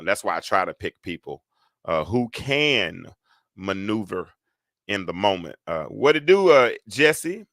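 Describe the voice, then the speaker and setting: A man hosts a casual live show, his voice very low and heavy. He rambles and restarts, leaning on fillers as he thinks aloud. The sound is close and dry, with no room around it. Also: fairly bright tone, no room sound. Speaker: host. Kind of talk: casual live-stream talk.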